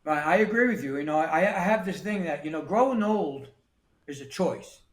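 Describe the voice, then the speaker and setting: A man's voice: fairly high pitch, expressive, normal speed, echoey room. A man in his sixties from New York City, talking as a podcast guest in a plain-spoken way that repeats words and restarts. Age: 60s. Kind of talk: casual interview talk.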